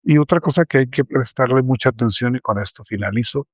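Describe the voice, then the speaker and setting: A man's voice: mid-range voice, racing, dry recording, clean studio sound. A middle-aged academic man, speaking earnestly at a round table panel.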